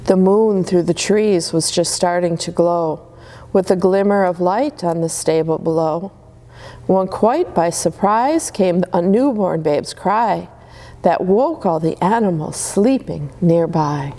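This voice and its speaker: A woman reading Christmas stories aloud to children in a gentle, soothing way. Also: lowish voice, takes it slowly. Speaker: storyteller.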